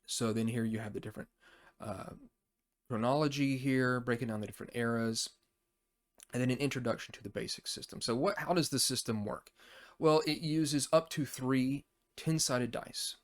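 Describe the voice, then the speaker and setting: A man's voice: medium pitch, moderate pace. Casual rambling man reviewing games. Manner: conversational, rambling, thinking aloud. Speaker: tabletop RPG review channel host. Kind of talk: casual unscripted overview commentary.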